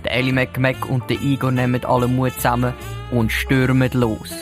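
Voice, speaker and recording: A man's voice: mid-range voice, completely flat delivery, normal speed, close to an everyday voice. A man with a Swiss German accent narrating a suspenseful detective audio play in a dramatic storytelling manner. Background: music.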